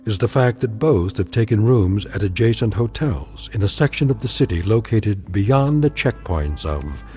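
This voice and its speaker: A man narrating a radio drama in a deliberate, ominous, portentous style. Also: fairly low pitch, animated, warm tone, faint music behind.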